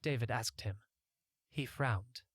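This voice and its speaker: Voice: medium pitch, slow pace, husky, dry recording, studio quality. A narrator reading a scripted first-person story as an audiobook.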